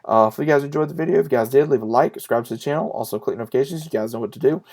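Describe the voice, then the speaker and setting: A man in his late twenties with a mid-pitched voice talks off the cuff in a casual vlog style. He is earnest and emphatic, often repeating a phrase for weight and pausing between thoughts. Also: a little mellow. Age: late 20s. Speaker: YouTube vlogger and collector. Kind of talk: casual, unscripted chat to viewers.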